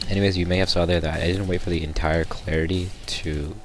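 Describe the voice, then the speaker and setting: A sick young man with a raspy voice, rambling through a casual gameplay tutorial voice-over with lots of ums. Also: sits low, steady tone, fast talker. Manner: rambling, many ums and restarts. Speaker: gameplay commentator. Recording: voice-over with loud background music.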